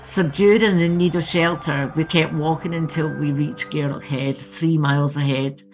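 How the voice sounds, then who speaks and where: A woman's voice: low voice, steady tone, normal speed, faint music behind, low-quality recording. A woman author reading her own book aloud as scripted memoir narration, with ambient sound behind her.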